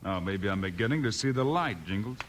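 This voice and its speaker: An actor playing a calm, heroic Old West marshal with an American accent, firm and reassuring, in 1950s radio style. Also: male voice, fairly low pitch, fairly quick, natural voice. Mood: probing, confident. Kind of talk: 1950s Western radio acting. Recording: faintly noisy vintage radio recording.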